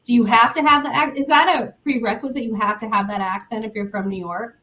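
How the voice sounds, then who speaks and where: A young woman from Iowa, a guest in a casual interview, friendly and quick to laugh. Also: a little high, fairly even intonation, bright, forceful tone.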